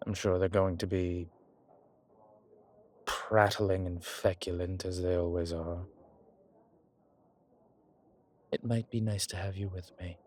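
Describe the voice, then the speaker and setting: A man playing an ancient vampire commander in an intimate audio roleplay, speaking with formal, old-fashioned diction and a measured, haughty manner. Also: deep voice, darkish tone. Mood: warm, gracious. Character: ancient male vampire commander, haughty yet tender to his companion. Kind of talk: intimate audio roleplay acting, one side of a conversation.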